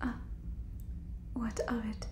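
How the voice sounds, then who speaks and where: A woman's voice: slow pace, reverberant, background noise. A young woman performing an ASMR roleplay as an awkward, formal alien examiner, speaking in stilted phrases close to the microphone. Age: young adult. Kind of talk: whispered ASMR roleplay, acted sitcom-like alien skit.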